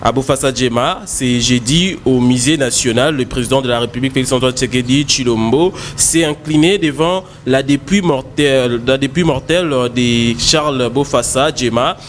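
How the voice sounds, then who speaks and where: A man's voice: medium pitch, moderate pace, hardly any pauses, slight background noise. A man with a Congolese French accent, co-hosting a morning show and reading news with frequent hesitations. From Congo (DRC). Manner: hesitant, many fillers while reading.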